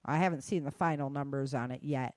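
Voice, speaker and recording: A woman's voice: very deep voice, fairly quick, darkish tone, no echo. A woman hosts a podcast in an informal, chatty style. She goes through news items as if talking straight to her listeners. The sound is dry, with very little room on her voice. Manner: conversational, addressing listeners informally. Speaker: podcast host. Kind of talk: casual news roundup on disasters and weather.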